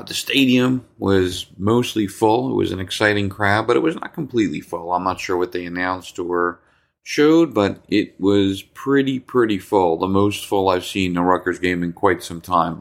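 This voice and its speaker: A man with a New Jersey accent hosting a sports talk podcast, his voice slightly hoarse, rambling with repeated words and ums. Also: sits low, fairly bright tone. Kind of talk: casual sports talk monologue.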